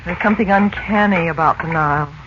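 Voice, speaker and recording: An actress playing an anxious, sensitive mother in a 1940s radio drama, speaking in an apprehensive, expressive way. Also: on the low side, brisk, natural voice. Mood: uneasy. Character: anxious, sensitive mother of two grown children. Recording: muffled, noisy old radio broadcast.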